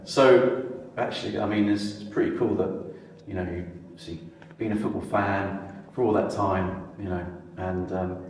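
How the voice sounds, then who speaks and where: A man's voice: sits low, steady tone, normal speed, a little mellow, slight background noise. A man hosting an interview, talking in a meandering way with plenty of fillers. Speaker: podcast host. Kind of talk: conversational interview. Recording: echoey room with faint music.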